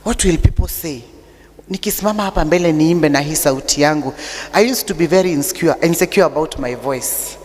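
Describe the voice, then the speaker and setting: A Kenyan woman with an unusually deep voice, preaching a sermon that mixes English and Swahili, telling stories with humor. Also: a little fast, darkish tone. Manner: chatty storytelling with humor. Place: church. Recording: long church reverb.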